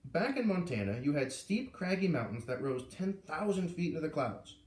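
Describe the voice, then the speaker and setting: A man reading a story aloud in an expressive, informal way, narrating like a storybook reader. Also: fairly high pitch, moderate pace. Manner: animated, informal asides. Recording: echoey room, far from the mic.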